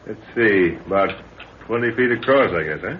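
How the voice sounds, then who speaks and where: Actor as commanding expedition professor, lively inflection. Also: male voice, lowish voice, close to an everyday voice. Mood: measured, practical. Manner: brisk orders, dry remarks, muttered asides. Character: commanding, practical professor father leading an expedition. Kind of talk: 1930s radio adventure serial acting. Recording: muffled, hissy 1930s radio recording.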